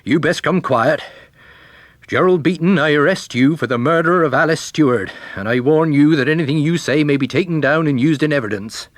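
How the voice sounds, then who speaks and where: A man's voice: fairly high pitch, brisk, no echo, voice pushed up, close to an everyday voice. A man voicing a plain-spoken, watchful police sergeant, deferential yet firm, in a detective story reading. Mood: firm, breathless.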